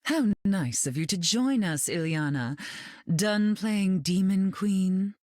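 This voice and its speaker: A woman's voice: medium pitch, lively intonation, takes it slowly, a little mellow, no room sound, studio quality. An actress playing a glamorous, cutting mutant queen in a video game, arch, flirtatious and condescending in manner. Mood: dry, condescending.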